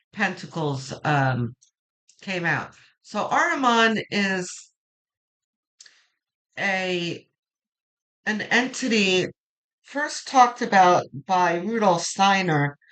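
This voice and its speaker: A woman with a low voice that turns gravelly when quiet, slowly and reassuringly reading tarot cards and thinking aloud. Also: low-quality recording. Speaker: tarot reader.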